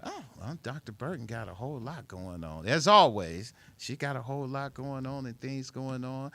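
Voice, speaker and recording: A man hosting a casual radio chat show, chatty and quick to chime in with agreement. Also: medium pitch, lively intonation, fairly bright tone. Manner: chatty, interjects affirmations.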